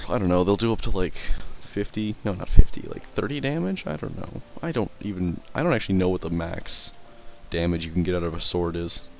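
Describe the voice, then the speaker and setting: Man, low voice, casual gaming commentary, rambling, offhand swearing, trips over difficult words. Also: a little fast, vocal fry, darkish tone, slight background noise. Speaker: let's play gamer and commentator. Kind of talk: casual gameplay commentary and reading in-game text aloud.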